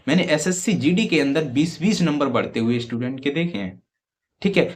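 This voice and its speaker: A man's voice: a little high, animated, a little fast. A man giving informal, emphatic advice to students as an online exam educator, repeating points and asking rhetorical questions. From Uttar Pradesh, India. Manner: emphatic, repetitive, rhetorical questions, frequent check-in tags. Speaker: exam-prep YouTube educator.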